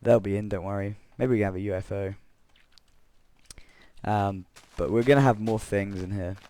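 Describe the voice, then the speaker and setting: A young man with a croaky voice from being unwell, rambling through gameplay commentary and a story, correcting himself often with chuckling asides. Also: lowish voice, fairly even intonation, moderate pace, mellow. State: croaky voice from illness. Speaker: Minecraft let's player.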